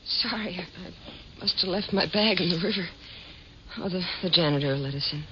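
An actress playing a frightened, nervous woman with a secret, halting and stammering, in a 1950s radio drama. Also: lowish voice, close to an everyday voice. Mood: uneasy. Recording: muffled vintage radio recording, hissy.

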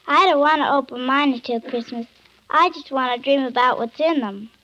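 A woman's voice: high-pitched, normal speed, bright, forceful tone. An actress playing an earnest, wistful, well-behaved little girl in a 1950s radio drama. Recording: muffled old 1950s radio broadcast.

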